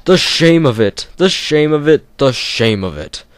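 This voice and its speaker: A man's voice: fairly high pitch, slow, a little mellow, no echo, voice pushed up. A man voicing a big, proud, grumpy male steam engine that grumbles and repeats its complaints in a storybook reading. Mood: grumbling.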